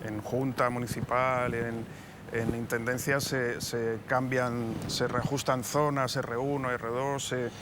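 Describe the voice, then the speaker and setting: A man's voice: medium pitch, flat delivery, slow, background noise. A man co-hosting a studio panel discussion, talking in hesitant restarts.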